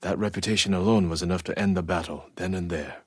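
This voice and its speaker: An honorable samurai warrior from a video game, solemn and reflective. His voice is deep and rough-edged, recorded close and very dry. He speaks with restraint, like a stoic man carrying grief. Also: steady tone, dry recording. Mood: solemn, earnest. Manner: restrained, solemn, measured delivery. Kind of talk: dramatic video game acting, reflective monologue.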